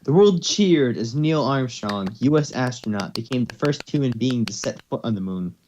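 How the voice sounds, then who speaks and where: A man's voice: medium pitch, animated, normal speed, a little mellow, a little hiss or hum. A man hosting and narrating an amateur story reading, slipping between scripted narration and casual banter. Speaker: narrator and host.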